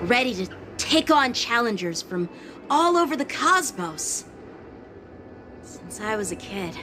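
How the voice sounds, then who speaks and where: A woman voicing an earnest, serious young boy sword apprentice in a game cutscene, speaking in a reflective, measured way. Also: mid-range voice, background noise, close to an everyday voice. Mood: eager. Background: game score music.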